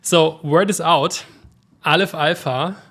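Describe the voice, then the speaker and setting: Man, brisk podcast host. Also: fairly high pitch, a bit bright. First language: German. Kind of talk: casual interview talk.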